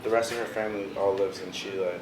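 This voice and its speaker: Laid-back low-voiced young man, echoey room. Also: little pitch movement, fast talker, a crowd in the background. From Washington DC area. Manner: laid-back, heavy on "bro" and "you know" fillers. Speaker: visual artist, interview guest. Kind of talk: casual interview chat. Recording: echoey room with noticeable background noise.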